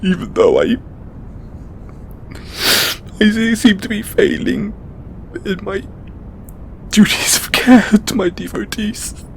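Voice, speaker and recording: A man playing a stressed, tender god confiding in a lover in an intimate audio roleplay, speaking haltingly with stammers and sighs. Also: huge pitch swings, gravelly, exaggerated voice. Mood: hurt, self-doubting. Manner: hesitant, halting, stammering and sighing. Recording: background noise with low rumble.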